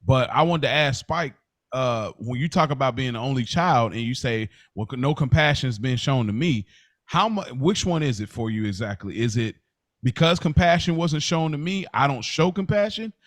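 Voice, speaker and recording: An American man in a casual podcast discussion, talking deliberately, rephrasing his points and probing like a co-host. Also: medium pitch, no echo.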